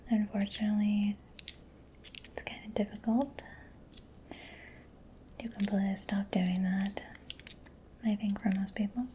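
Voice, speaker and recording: A woman gives soft-spoken ASMR personal attention in a mellow, mostly whispered voice. She goes slowly and gently, murmuring reassurance close to the microphone. There is some audible noise behind her. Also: medium pitch, level tone. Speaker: ASMR performer.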